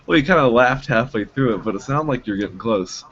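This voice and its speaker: A young man guest on a casual live podcast, joking and quick to apologize. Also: medium pitch, expressive, fairly bright tone. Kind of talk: casual live-stream chat. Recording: dull lo-fi audio with faint noise.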